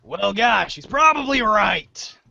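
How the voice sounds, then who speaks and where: Guy doing a dorky earnest teen boy, high bright creaky voice, storybook play. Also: expressive, slow pace, no room sound, highs cut off, exaggerated voice. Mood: eager, sheepish. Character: excitable, earnest, dorky teenage boy hero. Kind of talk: read-along storybook reader's theater acting.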